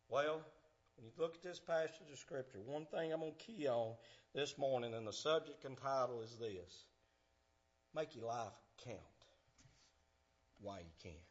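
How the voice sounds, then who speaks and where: A man, preaching a fervent sermon in church, swinging from shouts to hushed tones. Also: mid-range voice, lo-fi sound. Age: 50s.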